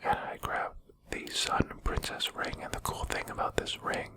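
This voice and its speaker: Someone whispering soft, unhurried gameplay commentary in a breathy, conversational ASMR style. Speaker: video game streamer and commentator.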